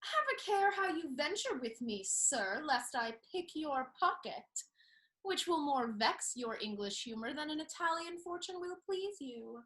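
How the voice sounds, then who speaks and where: Woman playing a high, lively, saucy young noblewoman. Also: a little slow, low-quality recording. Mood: teasing. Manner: teasing, saucy repartee. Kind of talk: Restoration comedy stage acting.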